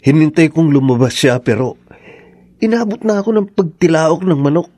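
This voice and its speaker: A man playing a suspicious, watchful village husband in a radio drama, speaking in a deliberate, brooding way. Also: on the high side, animated, mellow, no room sound. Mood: grave, suspicious.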